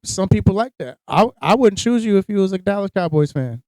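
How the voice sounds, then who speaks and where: A man's voice: sits high, a little fast, no room sound, poor audio. A man co-hosting a podcast, telling crude, joking stories in a casual chat. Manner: crude, joking, animated storytelling. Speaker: podcast co-host.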